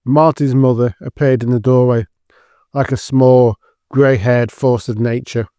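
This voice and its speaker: A man's voice: medium pitch, fairly even intonation, measured pace, mellow, clean tone, dry recording, studio quality. A man giving scripted narration as the storyteller of an audio drama.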